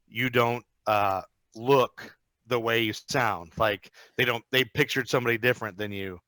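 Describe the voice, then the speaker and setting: A man hosts a casual panel in a bright, forward voice of average pitch. He is chatty and encouraging, with an amused tone, and the sound is close and dry. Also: dry recording, poor audio. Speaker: moderator, host.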